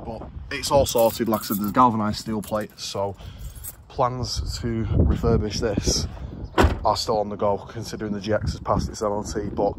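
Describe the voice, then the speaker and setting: A British man talks casually in a low, fairly flat voice, explaining things informally as in a car vlog. The recording has clear background noise, like a handheld video made around vehicles. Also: normal speed. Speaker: car restoration vlogger. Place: in a car, out on a street, and at a computer.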